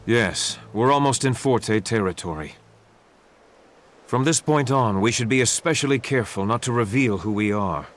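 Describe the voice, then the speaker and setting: A calm, resolute young prince with a mid-range voice, as a man plays him. He speaks in a formal, measured way in a game cutscene. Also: medium pitch, a little hiss or hum, natural voice. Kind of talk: video game dub acting.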